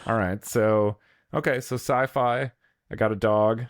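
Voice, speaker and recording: A man's voice: lowish voice, fairly even intonation, a little slow, dry recording, studio quality. A man co-hosting a podcast, thinking aloud in casual, slangy conversation. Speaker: podcast co-host. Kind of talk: casual brainstorming chat.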